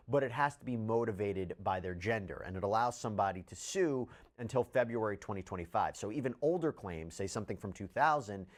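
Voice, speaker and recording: A man hosting a legal news show, explaining and reading out documents in an articulate, emphatic, formal manner. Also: fairly low pitch. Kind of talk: scripted news narration and legal commentary.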